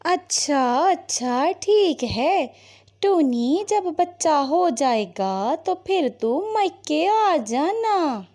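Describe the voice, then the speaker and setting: High, slow grandmother bird played by a woman. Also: big pitch swings, a little mellow, heavily acted. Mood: concerned. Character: concerned older grandmother bird, caring. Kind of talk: Hindi moral-story cartoon dubbing.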